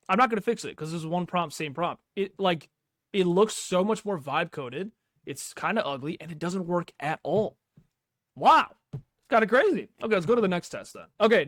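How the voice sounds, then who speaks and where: Higher-voiced man, casual tech YouTuber. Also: fairly bright tone, no room sound, clean studio sound. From Pakistani background. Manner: slangy, reacting aloud as things happen. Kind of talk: casual unscripted screen-recorded commentary, testing software.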